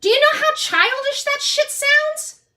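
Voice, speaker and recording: A woman's voice: extremely high pitch, measured pace, bright, forceful tone. A woman in her fifties talking combatively and dismissively in a livestream rant, swearing with heavy emphasis. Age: 50s.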